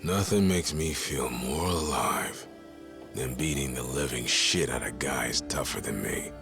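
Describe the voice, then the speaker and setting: A hushed, taunting gangster rival. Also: male voice, rumbling low, unhurried, vocal fry, full character voice. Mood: mocking. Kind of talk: video game cutscene dub. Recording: game audio with music behind.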